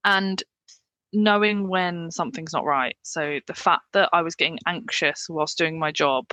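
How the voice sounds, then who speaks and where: A woman's voice: low-pitched, pushed and bright, no room sound, low-quality recording. A young woman with an English accent speaks openly about her work, in a loose, chatty way full of "like" and "you know." Her voice sits close and dry on the mic with no room around it. She laughs easily between thoughts. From England. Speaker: paramedic guest. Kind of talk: candid interview conversation.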